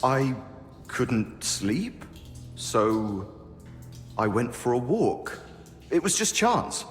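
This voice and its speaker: A man's voice: mid-range voice, sing-song, very slow. An actor playing a grumpy, evasive man in a video game cutscene, with a weary, defensive and grudging delivery. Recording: echoey stone-room reverb, music behind.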